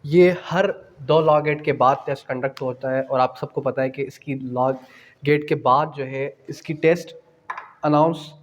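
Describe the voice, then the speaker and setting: A man's voice: mid-range voice, fairly even intonation, unhurried. A man with a Pakistani accent lecturing exam students, explaining by posing questions and answering them, mixing in English phrases. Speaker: law exam tutor. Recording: long hall-like reverb.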